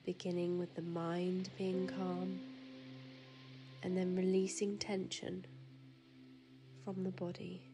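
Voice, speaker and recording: A woman leads a guided sleep meditation very slowly, leaving long pauses. Her hushed, soothing voice stays level and trails into soft breath at phrase ends. Gentle piano music and ocean waves play clearly behind her. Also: on the low side, completely flat delivery. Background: relaxing piano music and ocean waves.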